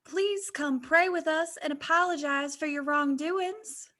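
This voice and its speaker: Prissy older schoolmistress, very high voice, bright, pious and scolding, comedy fan fiction reading. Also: male voice, unhurried, heavily acted.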